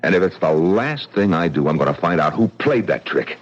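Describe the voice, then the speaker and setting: An actor playing a blunt, forceful middle-aged businessman and widower in a vintage radio mystery. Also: sits low, lively intonation, normal speed, slightly rough. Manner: blunt and forceful, speaks his mind. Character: blunt, tough-minded male businessman widower. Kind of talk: vintage radio drama acting. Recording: dull old radio broadcast.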